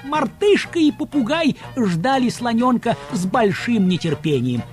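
A male narrator with a high voice tells a children's story expressively. His intonation rises and falls widely, and music plays clearly behind him. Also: sing-song, a little slow.

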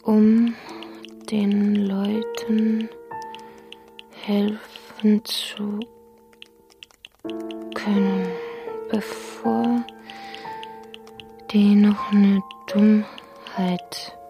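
Dutiful official, super slow and flat, half whispered bits, music behind, radio drama. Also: female voice, mid-range voice, gravelly. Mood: dry, pensive. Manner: fragmented, drawn-out phrases, partly whispered.

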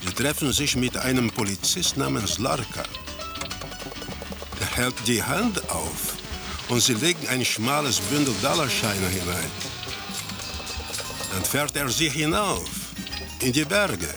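A detached male narrator telling a grim crime story for radio. He speaks slowly and deliberately in a lowish, gravelly voice. Terse sentences are laid over loud music. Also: big pitch swings, heavily acted. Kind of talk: scripted radio drama narration. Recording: music under the voice.

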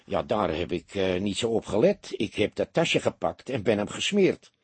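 A man's voice: lowish voice, big pitch swings, moderate pace, breathy edge, no echo, full character voice. A man voicing a cheeky, defensive small-time crook with colloquial, grumbling talk in an audiobook. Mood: matter-of-fact.

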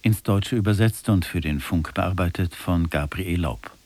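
A man reading scripted broadcast credits as a radio announcer, formal and measured. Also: low voice, darkish tone.

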